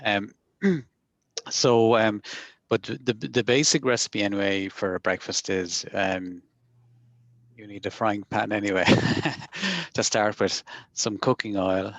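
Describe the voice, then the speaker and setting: Low-voiced man explaining informally. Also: lively intonation, slow pace, pauses often, a bit bright, dry recording. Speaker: session participant.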